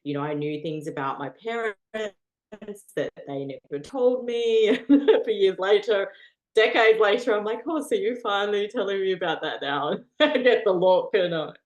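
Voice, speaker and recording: A woman's voice: mid-range voice, expressive, normal speed, a little mellow, dull audio. An Australian woman, a guest in a casual interview, talking earnestly with lots of fillers and frequent laughter.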